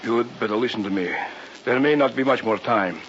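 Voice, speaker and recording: An actor playing a secretive, authoritative doctor in an old-time radio drama, on a muffled, hissy recording. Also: male voice, medium pitch, a little fast, a bit bright, lightly acted. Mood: grave. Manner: formal, authoritative, theatrical. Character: secretive doctor, commanding host of an island weekend.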